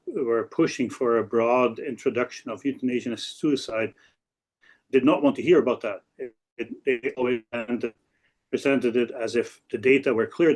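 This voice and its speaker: A man in his sixties from Belgium, a native Dutch speaker with a Belgian Dutch accent, speaking haltingly as a law professor introducing his work on a webinar. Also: mid-range voice, a little mellow. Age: 60s. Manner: halting, with frequent hesitations and repeats. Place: office.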